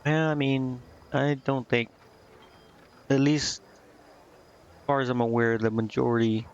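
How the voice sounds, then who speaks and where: A man's voice: mid-range voice, little pitch movement, takes it slowly, smooth, muffled recording. A man giving casual, hesitant game commentary, thinking aloud as he plays. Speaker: game streamer. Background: game music.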